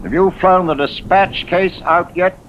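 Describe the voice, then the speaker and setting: A brisk British general with a fairly high, authoritative voice. His clipped radio-drama delivery comes through slightly muffled, with faint noise. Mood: brisk, commanding. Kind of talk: 1950s radio acting.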